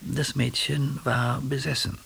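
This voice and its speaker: A man's voice: mid-range voice, level tone, slow, creaky, fairly bright tone, heavily acted. An actor in a radio drama playing an earnest, ailing older man who reflects on mystical experiences with educated diction. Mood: earnest, reflective. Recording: faint tape hiss.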